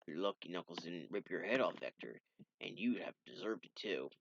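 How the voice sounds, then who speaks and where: A man voicing a calm, steady young male armadillo in a low, mild voice. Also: a little fast, gravelly, dull audio, a little hiss or hum, heavily acted.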